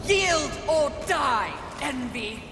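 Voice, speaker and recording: An actress playing a proud, scornful female horseman of the apocalypse in a video game, speaking gravely and formally. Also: high voice, expressive, very slow, full character voice. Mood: furious, scornful. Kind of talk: dramatic video game acting. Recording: echoey reverberant hall, noisy backing.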